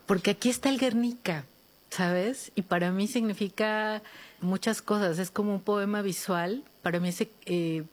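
A Mexican woman author in an interview, speaking reflectively with pauses and restarts, recorded in a museum. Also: medium pitch, steady tone, darkish tone. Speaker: author guest. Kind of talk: thoughtful interview conversation. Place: art museum in Madrid.

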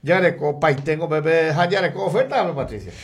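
Paraguayan male radio announcer, gravelly, high-set voice, sing-song ad reads. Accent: Paraguayan Spanish, mixing in Guarani. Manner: rapid, sing-song pitch and loudness swings, listing items. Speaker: radio announcer reading local ads. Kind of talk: live radio ad reading.

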